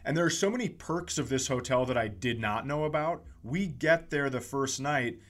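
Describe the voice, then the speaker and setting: A man's voice: mid-range voice, normal speed. A man hosting a comedy podcast, telling casual stories with profane, exaggerated ranting.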